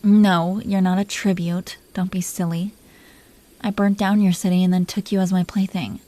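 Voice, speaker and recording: A woman playing an affectionate, possessive dragon queen in an intimate romantic roleplay audio, speaking softly and haltingly with a playful, teasing manner. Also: fairly low pitch, flat delivery, natural voice. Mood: possessive, softly menacing.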